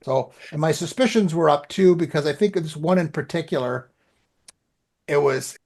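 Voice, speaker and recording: A man's voice: a little high, normal speed, creaky, darkish tone. A man co-hosting a podcast, chatting casually and anecdotally in colloquial language, often acting out remembered exchanges. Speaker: podcast co-host and author.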